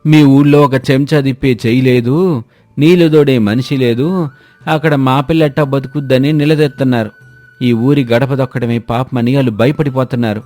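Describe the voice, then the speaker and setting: A man's voice: medium pitch, steady tone, brisk, a little mellow, soft background music, lightly acted. Man as rustic, resigned older village uncle. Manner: rustic colloquial speech. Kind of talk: dramatised moral-story dialogue.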